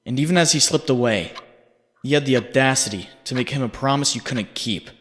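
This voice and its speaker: A man's voice: medium pitch, fairly quick, faint music behind, natural voice. Man as a brooding inner voice, long reverb. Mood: accusing. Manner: sardonic, intense, theatrical. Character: brooding, guilt-ridden young male inner voice, sardonic. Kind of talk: dramatic second-person narration.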